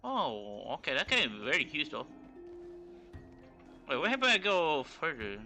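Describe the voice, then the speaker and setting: A man's voice: fairly high pitch, huge pitch swings, normal speed, sharp tone, soft background music. A man livestreaming a game with casual, informal, reactive commentary.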